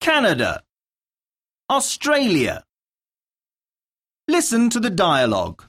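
A woman narrating a scripted English lesson, reading out words, questions and dictation in a deliberate, enunciated way with pauses. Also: medium pitch, wildly swooping pitch, slightly rough.

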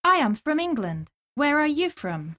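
A woman's voice: high voice, big pitch swings, measured pace, a bit bright. A woman reading simple scripted sentences and dialogue answers for a language lesson.

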